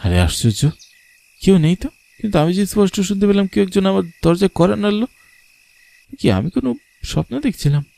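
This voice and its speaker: A man's voice: high voice, very animated, brisk, vocal fry, pauses often, dark tone, no echo, voice pushed up, heavily acted. A man voicing a frightened, bewildered young city man in a horror cartoon, anxiously questioning what is around him. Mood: alarmed, bewildered. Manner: anxious, questioning, talking to himself or mother. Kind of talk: Bengali horror cartoon character acting.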